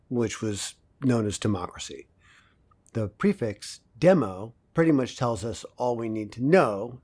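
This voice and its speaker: An actor playing an earnest professor giving a measured, self-assured academic lecture in an audio drama. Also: male voice, medium pitch, a little mellow.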